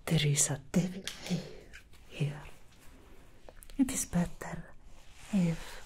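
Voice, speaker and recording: A woman voicing a seductive female demon hairstylist in an ASMR roleplay, whispering softly close to the mic with slow, soothing reassurances. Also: deep voice. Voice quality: soft whisper. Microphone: close sensitive ASMR mic.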